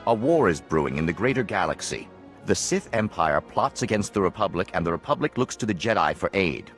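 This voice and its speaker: A commanding game mentor, lowish voice, formal but lots of intonation. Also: male voice, sing-song, a little fast, a bit bright, faint music behind, heavily acted. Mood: stern, encouraging. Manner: authoritative, formal, articulate. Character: authoritative Jedi trainer, formal recruiting mentor. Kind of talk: video game dialogue.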